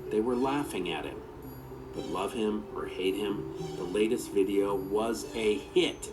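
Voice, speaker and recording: A man's voice: lowish voice, unhurried, music in the background, rough recording. A man reading a children's story aloud as its narrator.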